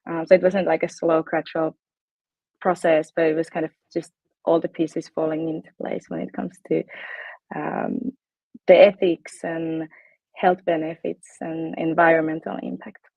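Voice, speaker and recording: A woman's voice: low voice, flat delivery, unhurried, gravelly, darkish tone, no room sound. Young woman, Finnish accent, bubbly, chatty, dry dull lo-fi sound. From Finland. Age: 20s. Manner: bubbly, frequent ums and laughs. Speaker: fitness coach, guest. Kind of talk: casual interview chat.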